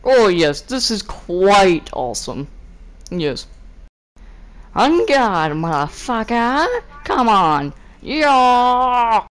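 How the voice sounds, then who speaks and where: Woman with wildly swinging pitch, slow delivery. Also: big pitch swings. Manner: exclamatory, clipped catchphrases. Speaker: gaming video creator. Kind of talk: playful improvised voice-over of game character animations.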